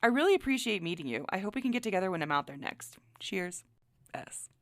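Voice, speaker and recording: A woman's voice: medium pitch, very animated, fast talker, a bit bright, no echo, clean studio sound. An actress playing a friendly woman scientist reading her letter aloud in an audio drama, casual in manner. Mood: friendly, wry.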